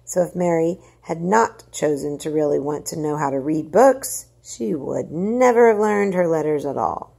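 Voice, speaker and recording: A woman narrates a story aloud in a low register. Her voice is mellow with plenty of fry, and she leans into the words with dramatic emphasis. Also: normal speed, few pauses. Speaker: storybook reader.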